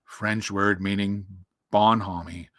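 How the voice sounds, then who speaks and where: A man's voice: lowish voice, slow pace, no room sound, studio quality, voice pushed down. A man voicing a gloomy, self-pitying old grey donkey from a storybook, with a glum, resigned delivery. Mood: gloomy, sarcastic. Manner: glum, sighing, flat delivery.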